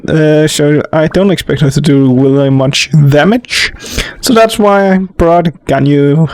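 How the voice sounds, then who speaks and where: A man's voice: a little high, measured pace, a little mellow, clear, resonant tone, no room sound. A man giving casual gameplay commentary in an informal, rambling way. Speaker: gamer, let's player.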